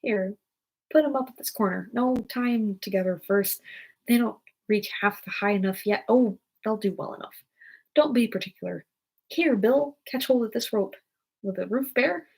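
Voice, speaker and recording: A woman's voice: mid-range voice, normal speed, vocal fry, darkish tone, breathy edge, rough recording. A woman voicing bustling, bickering small storybook workers, calling out bossy orders in a quarrelsome way.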